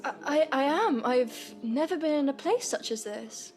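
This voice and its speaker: A gentle, timid young Victorian woman with a high voice. She stammers meekly and politely in this audio drama, with faint music behind her. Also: animated, moderate pace. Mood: timid, awed.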